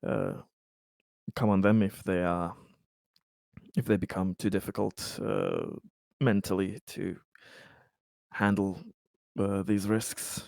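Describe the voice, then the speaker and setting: Man playing a weary, halting, slow-spoken colony officer. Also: low voice, expressive, creaky, darkish tone, dry recording, full character voice. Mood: concerned, gentle. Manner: halting, grave, earnest, sighing. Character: weary, haunted male colony officer consoling a colleague. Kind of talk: improvised tabletop roleplay dialogue.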